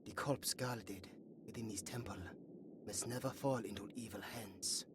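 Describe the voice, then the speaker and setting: A woman playing a young, earnest and chatty elven guard in a fantasy audio roleplay, musing aloud in a soft, hesitant way. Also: extremely low pitch, measured pace, background noise. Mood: bored, dutiful.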